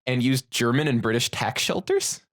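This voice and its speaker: A man chats casually as a podcast co-host. His voice sits at an ordinary pitch. He talks in a loose, rambling way about films. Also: expressive, moderate pace, fairly bright tone. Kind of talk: casual film discussion.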